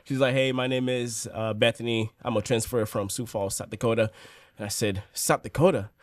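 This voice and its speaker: A man in his thirties from Haiti, a podcast guest telling his life story in a relaxed, joking way with many fillers. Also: mid-range voice, fairly quick, dry recording. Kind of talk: casual storytelling.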